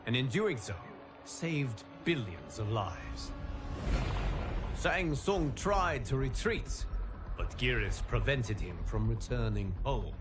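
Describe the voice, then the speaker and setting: An actor playing a calm, solemn male fire god who briefs the player in a game cutscene, speaking in measured, formal words with music behind. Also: mid-range voice, natural voice. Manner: measured, grave, formal diction. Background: game score music.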